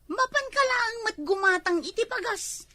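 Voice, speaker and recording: A woman's voice: very high voice, animated, normal speed, sharp tone, no echo, exaggerated voice. A woman with an Ilocano accent playing a bossy, nagging village wife in an amateur comedy drama. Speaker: actor in comedy skit.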